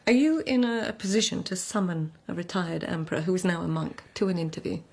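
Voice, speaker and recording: An actress playing a poised, anxious court lady devoted to her Empress, speaking in a measured, formal, guarded way in a radio drama. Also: lowish voice, vocal fry, a little mellow. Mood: anxious, intent.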